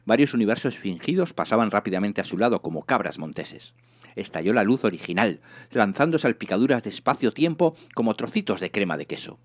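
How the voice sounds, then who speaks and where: A man narrating a comic story in a theatrical, expressive scripted reading. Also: lowish voice, fairly quick. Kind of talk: dramatised comic audiobook narration.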